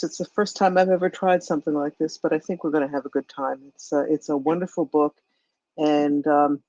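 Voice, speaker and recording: A woman's voice: fairly low pitch, a little mellow, dry recording, muffled recording. A woman with an American accent chatting casually as a co-host on a live online audio discussion. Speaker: co-host and book club leader. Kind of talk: casual chat. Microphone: live online audio chat.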